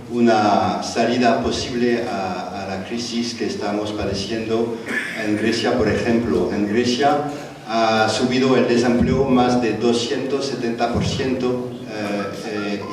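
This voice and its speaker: A man's voice: mid-range voice, background noise. A man with a French accent speaking Spanish at a conference panel, hesitant, with many fillers and restarts. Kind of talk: conference talk. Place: large conference hall. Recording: echoey hall, distant mic.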